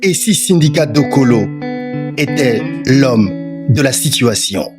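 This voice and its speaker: A woman with a low voice reads a scripted documentary narration. She frames points as measured rhetorical questions. Loud plucked-string music plays beneath her. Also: animated.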